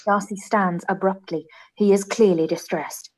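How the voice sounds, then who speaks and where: A woman's voice: medium pitch, fairly even intonation, moderate pace, poor audio. A woman reading scripted stage directions as the narrator of a radio play.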